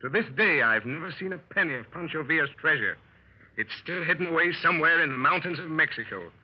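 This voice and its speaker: An actor playing a seasoned American adventurer who narrates his story, brisk and commanding, with a husky voice, in a 1930s radio play. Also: a little high, expressive. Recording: muffled 1930s radio recording.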